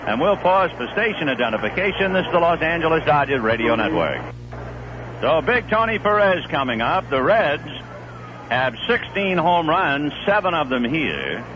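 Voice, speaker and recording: A man calls a baseball game from the broadcast booth, his fairly high voice leaping up and down as plays unfold and settling into chatty analysis between pitches. The sound is a dull, hissy old AM radio recording. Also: very animated, normal speed. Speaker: play-by-play announcer. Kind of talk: live baseball radio commentary.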